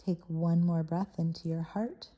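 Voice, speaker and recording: Very mellow, dark-voiced woman guiding meditation. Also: on the low side, steady tone. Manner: soothing, unhurried phrasing with pauses between short phrases. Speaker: guided meditation host. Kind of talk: guided meditation, soft guided visualization.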